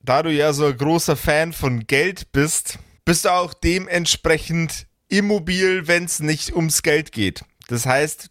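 A man's voice: on the high side, a bit bright, no room sound, studio quality. A man running a tabletop game podcast as game master, sardonic, mock-exasperated and sweary in casual talk.

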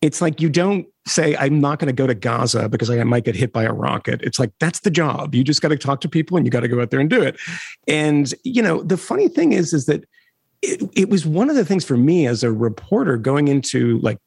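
A middle-aged man, a reporter guest on a podcast, talking in an animated way with wry asides and chuckles. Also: mid-range voice, a little fast, vocal fry, slightly rough, dry recording, clean studio sound. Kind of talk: conversational interview.

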